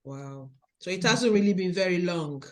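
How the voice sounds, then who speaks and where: A woman with a Nigerian accent hosting a podcast interview, speaking in a slow, measured, encouraging way. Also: lowish voice, lively intonation, a little mellow. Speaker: podcast host.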